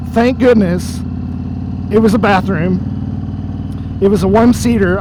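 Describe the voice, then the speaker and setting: A man telling an embarrassing story in a casual, rambling way while riding, his voice going hoarse. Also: high-pitched. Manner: rambling, self-deprecating, easily startled by dogs. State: losing his voice. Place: outdoors on a motorcycle on the road. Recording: road and engine noise.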